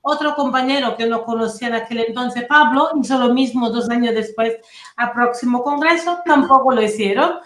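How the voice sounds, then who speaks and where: High-voiced Italian woman, roomy sound. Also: normal speed. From Italy, Naples. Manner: animated, personal storytelling with restarts. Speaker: guest activist. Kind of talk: casual conversational interview. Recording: roomy echoey room.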